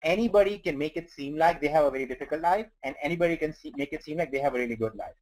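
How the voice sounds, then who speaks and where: A man's voice: fairly high pitch, racing, a little mellow. A man from India, not a native English speaker, coaching listeners earnestly through numbers on a business webinar. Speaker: company trainer on webinar. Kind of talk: motivational webinar talk.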